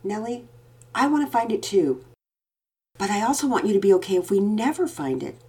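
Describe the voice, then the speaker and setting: A woman voicing a gentle father who comforts and prays with his children in a storybook reading. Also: mid-range voice, a little fast, slightly rough, pitched above the performer's own voice. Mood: earnest. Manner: calm, comforting, earnest.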